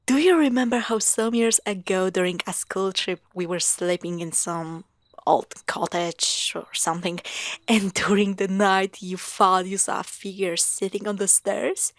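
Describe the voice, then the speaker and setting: A woman's voice: medium pitch, fairly even intonation, no echo, close to an everyday voice. A woman playing a skeptical, teasing young girlfriend in an audio roleplay, chatting casually with friends. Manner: casual, teasing, hesitant pauses. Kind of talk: audio roleplay acting, conversational.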